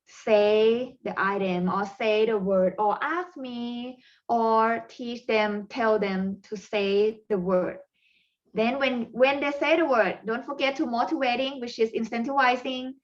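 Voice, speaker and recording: Thai-accented woman, halting webinar talk. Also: on the high side, fairly even intonation, dull audio. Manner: halting, non-native phrasing with frequent fillers. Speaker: parent coaching presenter. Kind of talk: webinar presentation.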